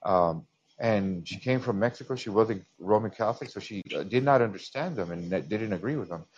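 A man's voice: on the low side, fairly quick, a little mellow, husky. A man from the United States calling into a podcast, talking earnestly and stumbling over repeated words. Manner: earnest, repetitive, stammering restarts. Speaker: caller. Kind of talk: casual call-in chat. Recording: dull lo-fi call audio.

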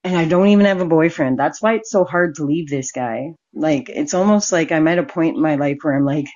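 A woman's voice: low-pitched, a little fast, a little mellow. Forty-ish canadian woman hosting a stream, chatty and candid, laughs a lot, muffled lo-fi audio. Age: 40s. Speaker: stream host. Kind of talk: casual chat with a caller.

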